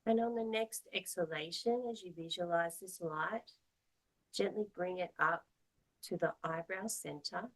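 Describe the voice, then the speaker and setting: A woman teaching yoga, guiding a meditation in a soothing, measured, gently instructive manner. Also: lowish voice, low-quality recording.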